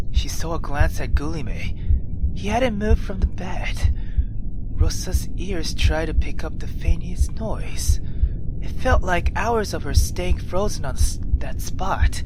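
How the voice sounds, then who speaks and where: A game let's player reading a visual novel aloud expressively, dropping into whispers at tense moments and adding excitable reactions. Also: mid-range voice, poor audio. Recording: background music under the voice.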